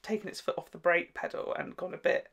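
A man's voice: sits high, little pitch movement, fast talker, a crowd in the background. A man in his twenties hosting a reaction video with casual, jokey chat, often laughing through his words. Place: small furnished room indoors.

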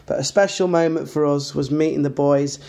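A man's voice: on the high side, fairly even intonation, a little fast, creaky, warm tone. A man in his thirties with a British accent, chatting enthusiastically as host of his own vlog, recorded on a dashboard camera in a moving car. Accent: British English. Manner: enthusiastic, chatty, filler-heavy. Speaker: channel host. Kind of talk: casual vlog narration. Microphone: camera mounted on the dashboard.

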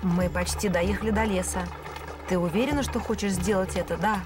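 A woman voicing a kindly, steadfast adult fairy godmother in a cartoon dub, calm, reassuring and instructive. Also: mid-range voice, a little fast, lightly acted. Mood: calm, firm. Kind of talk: cartoon dubbing.

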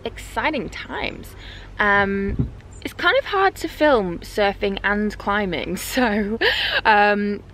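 Young woman vlogger, relaxed and chatty, filmed at arm's length in a van, faint background noise. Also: medium pitch, slow pace. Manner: relaxed, chatty with many ums. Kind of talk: casual vlog chat. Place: inside a camper van. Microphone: camera at arm's length.

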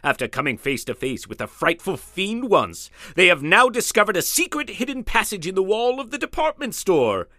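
An actor playing an excitable, melodramatic narrator of an old-time radio mystery serial, theatrical and suspenseful. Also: male voice, high-pitched, moderate pace, few pauses, no room sound, clean studio sound, heavily acted. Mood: dramatic suspense. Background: music.

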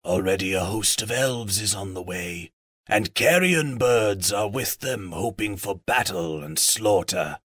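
A man voicing a decrepit, wise old raven chief in a storybook, croaking out solemn, formal counsel. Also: lowish voice, animated, a bit bright, no echo, clean studio sound, full character voice. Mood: grave, weary. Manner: slow, formal, archaic counsel.

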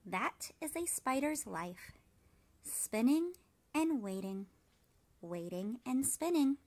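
Wise old storybook spider, acted by a woman: slow, reassuring, kind and sure of herself. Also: high-pitched, expressive, pitched above the performer's own voice, exaggerated voice. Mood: patient. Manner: gentle, reassuring, measured. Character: wise old female spider, kindly and self-assured. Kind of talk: storybook character reading.

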